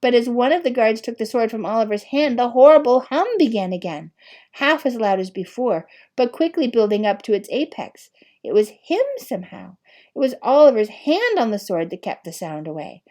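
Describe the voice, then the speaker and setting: A woman reading an audiobook in an expressive storybook style, with deliberate, dramatic phrasing and emphasis. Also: on the high side. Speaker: audiobook reader.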